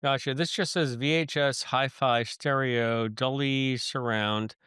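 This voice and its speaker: A man's voice: medium pitch, unhurried, clean tone, no room sound, clean studio sound. A man co-hosting a podcast, chatting casually about pop culture in a playful, jokey way. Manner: playful, jokey, animated reactions. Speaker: podcast co-host.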